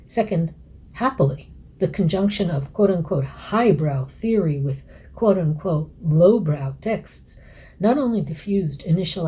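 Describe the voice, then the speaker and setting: A woman's voice: on the low side, warm tone, breathy edge, low-quality recording. A woman lecturer reading aloud from her scholarly essay. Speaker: academic author and lecturer.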